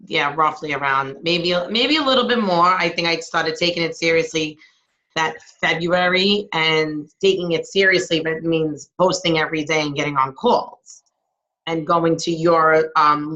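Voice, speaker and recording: A woman answering questions on a video call, casual and hesitant with frequent pauses. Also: sits low, fairly bright tone. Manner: hesitant, lots of ums. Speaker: team member guest. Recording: dull lo-fi call audio.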